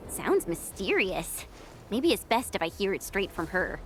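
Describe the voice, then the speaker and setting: An actress voicing an upbeat, curious young woman companion in a video game, talking in a casual, expressive way. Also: medium pitch, a little slow, soft background music. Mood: puzzled, chatty.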